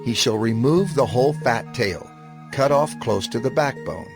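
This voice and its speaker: Solemn male scripture narrator, expressive, over music. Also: mid-range voice. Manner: solemn, measured, deliberate emphasis.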